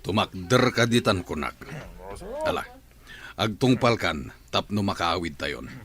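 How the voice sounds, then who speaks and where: A man's voice: deep voice, sing-song, a little slow, very noisy. A man playing a stern, commanding father who scolds his daughter in a radio drama. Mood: scolding. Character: stern middle-aged father, short on patience.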